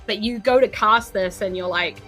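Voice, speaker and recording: A woman's voice: mid-range voice, normal speed, fairly bright tone. A woman narrating a tabletop role-playing game as game master, improvising conversationally. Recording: music behind the voice. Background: background music.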